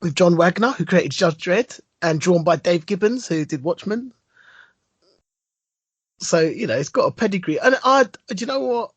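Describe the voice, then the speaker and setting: Excitable podcast guy, kinda high and husky, rambles on, super dry close mic. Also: moderate pace, no room sound. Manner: enthusiastic, rambling storyteller. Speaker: podcast co-host. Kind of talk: casual chat.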